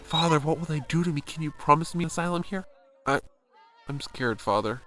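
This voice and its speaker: An actor playing an earnest, boyish young American soldier in an audio drama. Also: male voice, a little high, a little fast, a bit bright, lightly acted. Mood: frightened.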